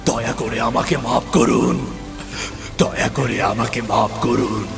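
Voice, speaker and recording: A man's voice: medium pitch, steady tone, slow, vocal fry. A man playing a cursed young prince turned talking fish, pleading and regretful, in a children's moral-story cartoon. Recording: music behind the voice.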